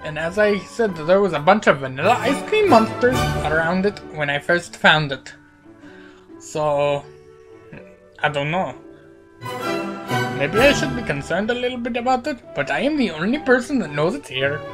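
A woman's voice: on the low side, sing-song, normal speed, over music. A woman with a cold, her voice cracking, giving playful, rambling commentary over a video game with lots of fillers. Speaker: gaming channel host.

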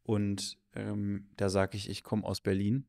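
A young man co-hosting a casual podcast in a studio, rambling through quick explanations into a handheld mic. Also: on the low side, flat delivery, creaky, darkish tone. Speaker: podcast co-host. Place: studio with green plant wall. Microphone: handheld dynamic mic.

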